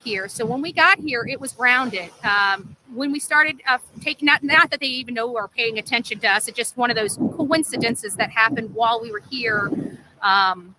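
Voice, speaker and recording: A woman's voice: on the high side, moderate pace, noisy background. A woman in a casual livestream, rambling through explanations and often checking that listeners follow. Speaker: livestream amateur sleuth.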